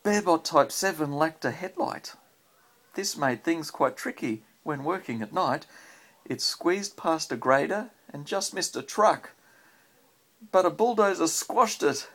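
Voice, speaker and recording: An Australian man with a husky, creaky voice, reading a picture book aloud and chatting simply with a child as a parent would. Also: fairly high pitch, lively intonation, measured pace, a bit bright.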